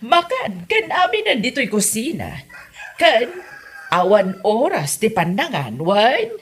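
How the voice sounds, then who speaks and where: A woman's voice: on the high side, wildly swooping pitch, takes it slowly, slight background noise, full character voice. A woman with an Ilocano Filipino accent acting a generous, welcoming female poultry farm boss in a comedy audio drama. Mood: friendly, instructing.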